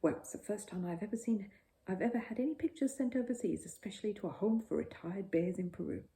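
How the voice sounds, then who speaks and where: A woman's voice: mid-range voice, fast, warm tone, natural voice. A woman voicing a polite, puzzled male shopkeeper in a children's storybook, with a hesitant, wondering manner. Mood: impressed, obliging.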